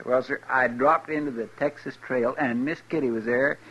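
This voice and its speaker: A folksy, grumbling sidekick in a radio western. He rambles in an at a middling pitch. The old recording is dull and boxy. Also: steady tone, moderate pace, fairly bright tone, breathy edge. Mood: rambling, weary.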